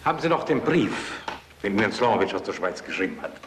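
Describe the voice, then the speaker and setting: A curt German major. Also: male voice, on the low side, big pitch swings, quick pace, echoey room. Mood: cold. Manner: curt, authoritative. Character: German army major, cold and commanding. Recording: hissy film soundtrack.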